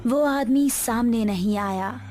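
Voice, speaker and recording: A woman's voice: on the high side, takes it slowly, darkish tone, slight background noise. A woman reading a scripted horror story as its narrator.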